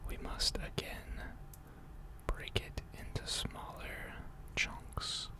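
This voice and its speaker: Gentle robot mechanic, played by an ASMR performer, whispered, very slow, formal and soothing. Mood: calm, methodical. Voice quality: soft breathy whisper. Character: gentle, attentive robot mechanic tending a salvager robot.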